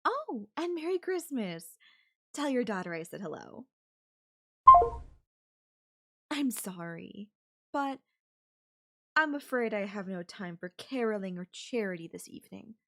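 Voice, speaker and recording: A woman's voice: medium pitch, sing-song, normal speed, a bit bright. A woman playing a controlling, wealthy CEO in an audio roleplay, speaking in an imperious, formal and coldly condescending manner. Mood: politely dismissive. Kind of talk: ASMR audio roleplay acting.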